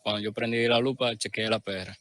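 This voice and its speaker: Un hombre dominicano entrevistado en un reportaje de noticias que relata lo ocurrido de forma sencilla y entrecortada. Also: male voice, on the low side, fairly even intonation, a bit bright, no echo. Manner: plain, halting recollection. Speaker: interviewee. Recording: news clip with faint music.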